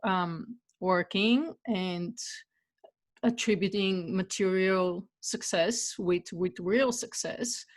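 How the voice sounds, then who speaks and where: Woman from Bulgaria, young adult, interview host, earnest, personal storytelling, mid pitch. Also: takes it slowly. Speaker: podcast host. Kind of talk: casual interview conversation.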